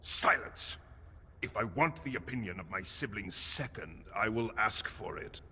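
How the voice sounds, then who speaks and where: Haughty, forceful dwarf prince, reverberant. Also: male voice, lowish voice, animated, a little slow, a little hiss or hum, full character voice. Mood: contemptuous, angry. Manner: pompous, commanding. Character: haughty, domineering dwarf prince, eldest heir to the throne. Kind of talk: video game voice acting. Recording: long reverberant hall echo.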